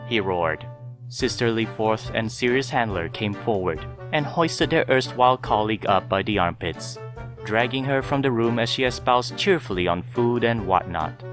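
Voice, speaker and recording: A man narrating a scripted story in a measured, dramatic storytelling manner. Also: medium pitch, animated, fairly bright tone, soft background music.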